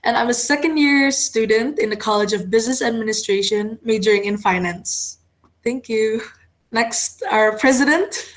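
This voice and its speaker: Brisk young woman from Indonesia. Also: on the high side, rough recording. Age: young adult. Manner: brisk, polite self-introduction. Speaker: business student introducing herself.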